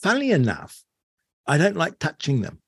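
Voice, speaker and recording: A man's voice: on the high side, wildly swooping pitch, normal speed, creaky, husky, dry recording, studio quality. A New man hosting an interview, talking casually and wryly, stretching words for emphasis. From New Zealand. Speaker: host.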